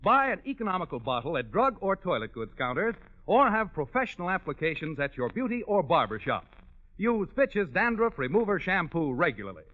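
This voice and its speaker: A man's voice: sits high, very animated, fairly quick. A man reading a scripted 1940s radio commercial as a polished, salesmanlike announcer. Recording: tinny, narrow vintage radio recording.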